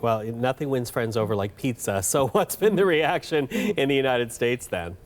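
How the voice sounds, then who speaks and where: A man's voice: medium pitch, lively intonation, moderate pace. Male news anchor, mid-range voice. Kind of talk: conversational news presenting.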